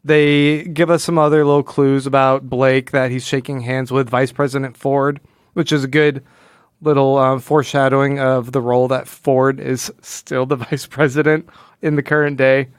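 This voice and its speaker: Smooth-voiced man co-hosting a casual podcast. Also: mid-range voice, little pitch movement, moderate pace, no echo, clean studio sound. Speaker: podcast co-host. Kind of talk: casual comic book discussion.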